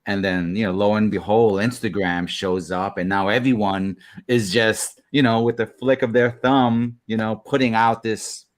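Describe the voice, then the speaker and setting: A man co-hosting a casual interview, speaking in a thoughtful, measured way. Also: lowish voice, steady tone. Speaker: co-host.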